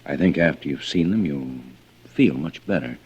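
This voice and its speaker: An actor playing a kindly, uneasy police lieutenant in an old radio drama, hesitant and courteous. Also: male voice, extremely low pitch, animated, moderate pace, a little mellow, breathy edge, exaggerated voice. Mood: gentle, uneasy. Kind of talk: 1940s-50s radio drama acting. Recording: muffled old radio broadcast, faint noise.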